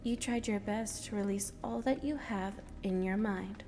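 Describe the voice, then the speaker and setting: A woman playing a calm, insinuating voice inside a man's mind in an audio drama. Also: medium pitch, a little slow, close to an everyday voice. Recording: long reverb, faint background noise.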